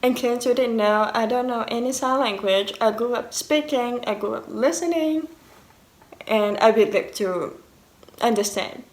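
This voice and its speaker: A young woman from Canada speaks in a fairly high voice, her French and deaf accent plain in every phrase. She tells her story candidly, with a casual vlogger's restarts and fillers. The room around her gives an echoey ring. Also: a little slow. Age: 20s. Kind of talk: casual personal vlog.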